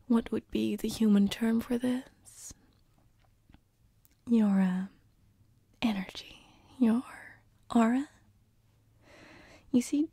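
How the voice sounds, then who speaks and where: A woman playing a shy, affectionate female demon in an ASMR roleplay, speaking softly and hesitantly, often whispering, with a breathy voice. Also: on the high side, no room sound, studio quality, full character voice. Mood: apologetic, explaining.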